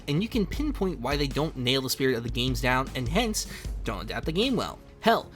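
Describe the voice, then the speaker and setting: Lively, higher-pitched man narrating a video essay. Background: music under the voice.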